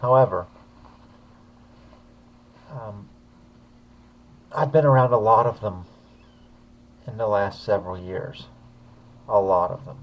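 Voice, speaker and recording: Hesitant low-voiced man, muffled sound. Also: fairly even intonation, slow, long pauses. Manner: hesitant, many fillers and restarts. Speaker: uploader commenting on a video. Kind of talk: casual spoken commentary.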